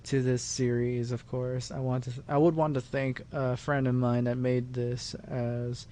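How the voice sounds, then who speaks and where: A man hosting a channel, giving a casual unscripted thank-you in a halting, repetitive, rambling way. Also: medium pitch, flat delivery, warm tone, slight background noise. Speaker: channel host and dub producer.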